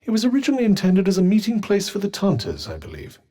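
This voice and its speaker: A man's voice: high-pitched, wildly swooping pitch, fast talker, warm tone, full character voice. A male actor voicing a haughty, erudite magical cuff in a video game, with a British accent and a dry, sarcastic, pompous manner. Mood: erudite, explanatory. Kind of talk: video game companion dialogue.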